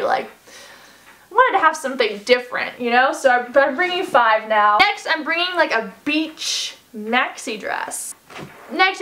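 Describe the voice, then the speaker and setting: A woman's voice: high voice, fairly bright tone. A woman in her twenties chatting through a casual packing vlog in a living room, upbeat and full of "like"s and "um"s. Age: 20s. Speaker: vlogger. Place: carpeted living room by a fireplace, indoors.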